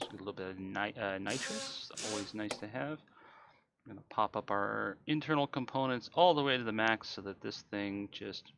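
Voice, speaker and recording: A man's voice: fairly low pitch, background noise. A man giving casual, easygoing, explanatory commentary on his own video game speedrun. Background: loud video game music and car sounds.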